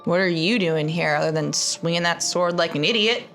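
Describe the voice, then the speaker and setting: Low-voiced teasing woman player. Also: a bit bright, soft background music. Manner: teasing, quick barbs.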